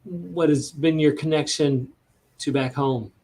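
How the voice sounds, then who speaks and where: A man's voice: fairly high pitch, a little slow, a little mellow, rough recording. A man hosting a casual interview, asking questions and promoting an upcoming event. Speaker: host.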